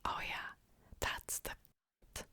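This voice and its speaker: A blunt, rude woman at a wedding table, curt and dismissive, as a whispering storyteller puts her on. The voice stays hushed and unhurried, with a bright edge.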